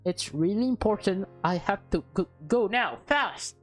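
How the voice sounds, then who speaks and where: A woman voicing a flustered, evasive teenage schoolgirl from a visual novel, halting and eager to get away. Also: mid-range voice, expressive. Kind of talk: visual novel character read-aloud. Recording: background music under the voice.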